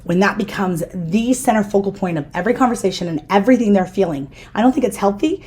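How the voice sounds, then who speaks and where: A middle-aged woman hosting a casual interview, talking in a loud, firm and direct way. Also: mid-range voice, quick pace. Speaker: podcast host.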